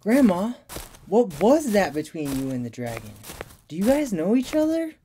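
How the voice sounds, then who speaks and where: A woman's voice: medium pitch, very animated, moderate pace, dark tone, a little hiss or hum, natural voice. A woman voicing an earnest, good-natured little male creature in a comic dub, talking like a chatty storyteller. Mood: earnest, defensive. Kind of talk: fan comic dub voice acting. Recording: music behind the voice.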